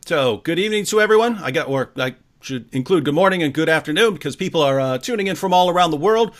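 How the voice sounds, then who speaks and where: A man with a fairly high-pitched voice hosts a livestream interview. His delivery is casual and conversational, with a playful, jokey touch of showmanship. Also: expressive, quick pace. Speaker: host.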